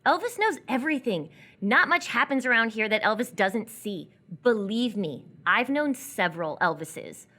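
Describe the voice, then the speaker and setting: A young runaway woman played by an actress in a live radio play, wry and teasing. Her voice sits fairly high and swings widely as she needles and mocks. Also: animated, moderate pace, bright, forceful tone. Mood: dry, knowing. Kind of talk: live radio theatre acting.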